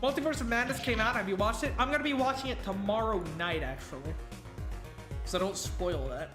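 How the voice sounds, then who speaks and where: A woman with a Wisconsin accent giving casual, wry let's play commentary, thinking out loud as she plays. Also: fairly high pitch, brisk. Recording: roomy room with game music. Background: game music.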